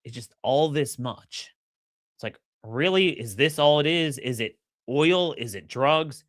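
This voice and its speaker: A middle-aged man hosting a solo political commentary show, slightly hoarse, energetic and opinionated, often repeating words as he starts a sentence. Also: medium pitch, unhurried, dry recording, studio quality. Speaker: podcast host.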